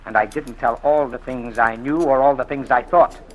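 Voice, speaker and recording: An actor playing a smooth, sleazy former insurance investigator who blackmails a woman, talking in an oily, taunting drawl, in 1940s radio drama style. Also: mid-range voice, moderate pace, slight background noise, lightly acted, poor audio. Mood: menacing.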